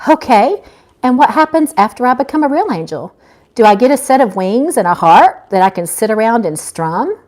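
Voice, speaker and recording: A woman's voice: fairly high pitch, sing-song, moderate pace, darkish tone. A woman reading a story, voicing a sassy, defiant young woman with a catty, indignant manner. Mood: catty, incredulous. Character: sassy, defiant young woman, newly dead angel recruit. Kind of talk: live audiobook-style reading.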